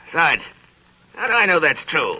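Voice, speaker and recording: A bitter old miser who snaps out clipped, scornful replies. His voice is fairly high and cuttingly bright, with a hard, piercing edge. It comes through a muffled old 1930s radio broadcast. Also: sing-song, moderate pace, husky, exaggerated voice. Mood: contemptuous. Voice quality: sharp, curt and scornful. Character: miserly old man, harsh and cold. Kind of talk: 1930s radio drama acting.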